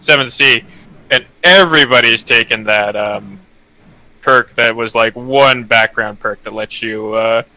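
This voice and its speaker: Very bright-voiced Canadian man. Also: mid-range voice, measured pace, low-quality recording. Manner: rambling, full of fillers. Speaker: podcast co-host. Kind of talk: casual gaming chat.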